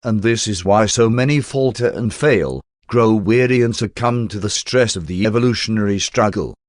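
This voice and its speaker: A man reading a spiritual text as an audiobook narrator in a formal, measured and solemn manner. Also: fairly low pitch, no room sound, studio quality.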